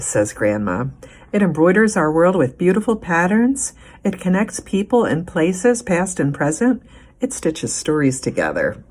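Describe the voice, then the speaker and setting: A woman librarian reading a children's picture book aloud in an expressive, deliberate way, drawing out key words. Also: lowish voice.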